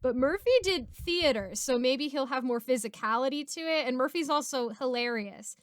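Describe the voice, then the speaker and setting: A woman's voice: high-pitched, normal speed, no echo. A woman chatting casually and giggling as she hosts a question-and-answer session with friends. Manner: giggly, animated. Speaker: host and voice actor answering viewer questions. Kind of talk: casual Q&A chat among friends.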